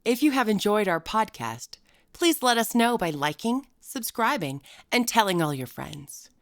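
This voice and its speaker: A woman's voice: mid-range voice, sing-song, measured pace, no echo, clean studio sound. A woman storyteller reading a scripted horror tale for a podcast. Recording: loud music under the voice.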